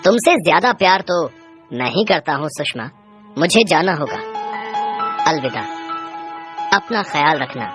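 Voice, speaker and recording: A woman voicing a tender, self-sacrificing male ghost in an animated horror story, resigned and earnest. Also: low-pitched, a little slow, long pauses, over music.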